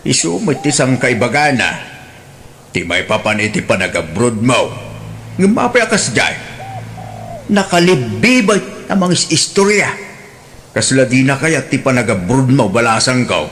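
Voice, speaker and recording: Casting: blunt elder brother, Ilocano radio drama; very lively male pitch, Ilocano-accented, faintly noisy recording. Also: measured pace. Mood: probing. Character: older brother, blunt and grumbling.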